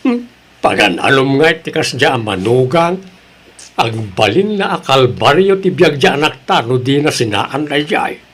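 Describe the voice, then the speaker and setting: A man's voice: medium pitch, very animated, measured pace, a bit bright, slightly rough, heavily acted. A man playing a concerned, disapproving grandfather in an Ilocano drama, with an Ilocano accent. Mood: worried, disapproving. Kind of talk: Ilocano radio-style drama acting.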